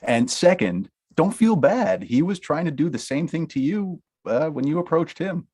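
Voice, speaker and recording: An actor at a table read playing a young talent manager with a dry, deadpan sense of humor. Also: male voice, on the high side, normal speed. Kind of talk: table read of a comedy script.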